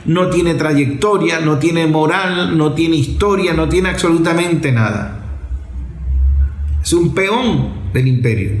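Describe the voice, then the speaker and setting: A man's voice: a little high, echoey room. A man delivering a political commentary monologue emphatically, leaning on rhetorical questions and repeated phrases.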